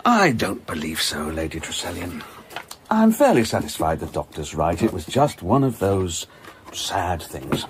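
A British detective inspector in a radio play. His voice is on the low side and swings widely in pitch as he talks down to people, brisk and dismissive. There is faint background noise behind him. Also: big pitch swings, full character voice. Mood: polite, sceptical. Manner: brisk, patronising, sceptical. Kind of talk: British radio drama acting.

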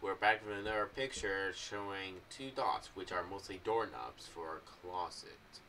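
Young male vlogger, lowish bright voice, casual rambling theory talk in a home room. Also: measured pace. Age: young adult. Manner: rambling, loosely phrased. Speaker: YouTuber reviewing an audio drama series. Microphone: condenser mic on boom arm with pop filter, slightly off to the side. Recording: slightly distant mic, faint background noise.

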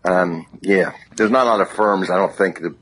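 A plain-spoken, opinionated man with a husky voice, chatting casually as a comedian guest in an interview. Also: low voice, normal speed, fairly bright tone, a little hiss or hum, rough recording.

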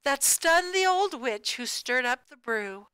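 Bright, clear woman reading a children's storybook. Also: high voice, measured pace, no echo, low-quality recording. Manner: expressive, sing-song cumulative rhyme delivery with emphatic key words. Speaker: storybook reader. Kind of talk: animated children's read-aloud of a rhyming picture book.

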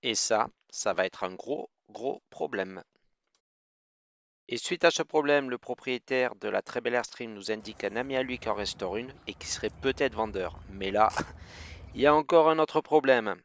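A man narrating a travel vlog voice-over in a steady reading tone. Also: medium pitch, normal speed, dull audio. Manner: steady reading, even explaining tone.

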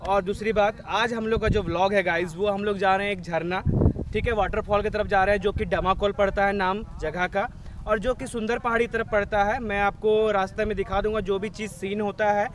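A man's voice: high voice, fairly even intonation, brisk. A man talking casually to his viewers as a travel vlogger, chatty and calling them guys, filming outdoors by a waterfall. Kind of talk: casual vlog talk to viewers. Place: outdoors on a hill path by a waterfall.

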